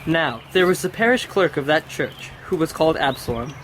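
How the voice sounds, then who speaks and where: Young man reading a bawdy tale deadpan. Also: a little high, moderate pace, soft background music. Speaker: storyteller reading the tale aloud. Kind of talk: amateur comic read-aloud of a classic tale.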